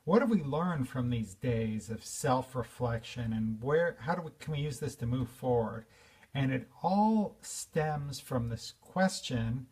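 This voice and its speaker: A man's voice: medium pitch, expressive, a little slow, a little mellow, breathy edge, recorded from a distance. A man in his fifties giving an earnest, reflective spiritual talk, with fillers and restarts. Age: 50s. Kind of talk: informal spiritual talk to an audience.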